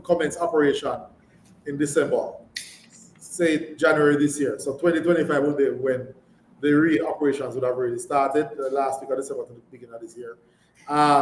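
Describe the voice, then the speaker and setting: A Jamaican man with a slightly high voice speaks as a company chief executive on a live call. He is emphatic and often repeats his phrases. The sound is echoey, with faint noise behind him. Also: a little mellow. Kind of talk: live earnings call answers. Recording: echoey room, background noise.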